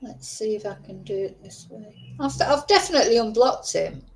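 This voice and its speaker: A woman's voice: a little high, lively intonation, normal speed, poor audio. Lady on a true crime stream, chatty and opinionated, reading news out with asides. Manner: chatty, opinionated, drifting from article to own asides. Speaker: true crime channel host. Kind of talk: casual livestream chat, reading news aloud.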